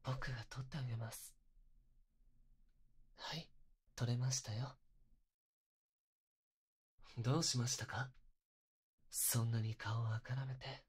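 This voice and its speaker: A young man playing a polite, unstable, possessive yandere captor in an intimate ASMR roleplay, speaking softly and breathily into a close binaural microphone. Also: mid-range voice, fairly even intonation, slow, long pauses. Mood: tender teasing. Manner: soft, breathy, polite speech, often whispering. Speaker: voice actor in situation audio.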